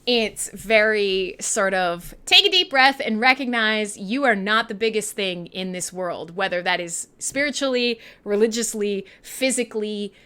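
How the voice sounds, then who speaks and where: A woman with a Canadian accent hosts a casual music radio show. Her voice is bright and forceful, with very lively swings in pitch. She rambles irreverently, joking and swearing freely as she chats to listeners. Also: unhurried, few pauses, smooth. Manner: sweary, jokey, rambling, laughs often. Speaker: online radio show host and DJ. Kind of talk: casual irreverent live radio chat between songs.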